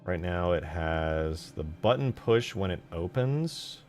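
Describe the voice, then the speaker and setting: Deep-voiced man thinking aloud over music. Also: animated, slow, a little mellow. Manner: thinking aloud, informal, swearing. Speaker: game modder, channel host. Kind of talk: casual screen-share commentary while working. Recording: music behind the voice.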